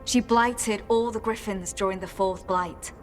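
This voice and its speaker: Actress as a nervous, hesitant former recruit. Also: female voice, medium pitch, fairly even intonation, measured pace, soft background music, close to an everyday voice. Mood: solemn. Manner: hesitant, solemn. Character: nervous, earnest female former warden recruit. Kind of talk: game dialogue acting.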